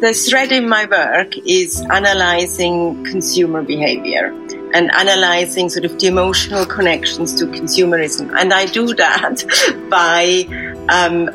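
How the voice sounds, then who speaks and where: A woman's voice: on the low side, poor audio. A woman from Switzerland, a sculptor, speaking thoughtfully in an interview and searching for words. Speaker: sculptor, guest.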